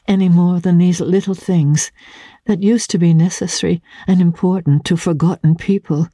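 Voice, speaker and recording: A woman narrator voicing a wistful, melancholy society lady from a classic novel, with a husky, halting, reflective delivery. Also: lowish voice, mellow, dry recording, clean studio sound.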